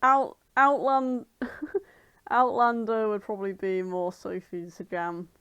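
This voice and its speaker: Female podcast co-host, medium pitch, dry sound, informal sweary chat. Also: steady tone, takes it slowly, a bit bright, clear, resonant tone, no room sound. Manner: informal, sweary, British slang. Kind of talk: casual chat.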